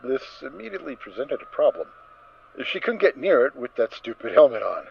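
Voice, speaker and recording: A man narrating a story as a dramatic reading, with expressive, theatrical emphasis. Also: mid-range voice, fairly quick, gravelly, husky. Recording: dull recording with faint noise.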